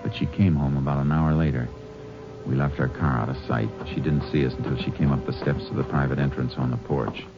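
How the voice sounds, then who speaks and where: An actor playing a shrewd, authoritative American Texas Ranger in a 1950s radio drama, terse and dry-witted. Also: male voice, very deep voice, fairly even intonation, fairly quick, over music. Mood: narrating. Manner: terse, authoritative, dryly sardonic. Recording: muffled, noisy old 1950s radio recording.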